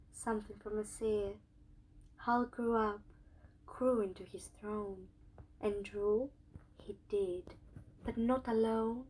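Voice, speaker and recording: An actress playing a whimsical storyteller in an audio play, speaking in measured, lyrical, theatrical rhyme. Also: female voice, mid-range voice, darkish tone, low-quality recording. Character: whimsical storytelling woman narrator, fond of chickens. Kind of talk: theatrical rhyming storybook narration.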